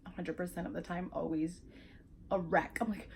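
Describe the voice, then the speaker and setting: A woman's voice: fairly low pitch, fairly even intonation, moderate pace, creaky, slight background noise. A young woman with a Spanish accent talking frankly and casually to her viewers, cursing freely and stumbling through fillers and restarts. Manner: frank and chatty, swears, many fillers and restarts. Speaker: vlogger. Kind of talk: casual educational monologue.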